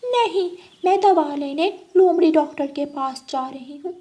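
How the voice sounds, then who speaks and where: Sly female cat for kids' cartoon played by a woman: very high pitch, coaxing, falsely sweet. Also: unhurried, dark tone. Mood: persuasive. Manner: coaxing, pleading, punctuated with meows. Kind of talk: children's animal cartoon voice acting.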